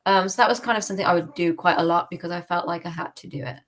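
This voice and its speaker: A woman with a British accent and a slightly low voice shares a personal account in a relaxed interview. She is candid, often hesitating and rewording her sentences as she talks. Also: racing. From United Kingdom. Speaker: podcast guest. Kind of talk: personal testimony in casual interview.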